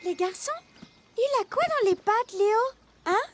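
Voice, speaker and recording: A woman voicing a sweet, curious young girl animal cub in a cartoon, lively and chirpy. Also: very high-pitched, unhurried, slight background noise. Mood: cheerful. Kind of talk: animated series dub. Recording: loud cartoon music behind.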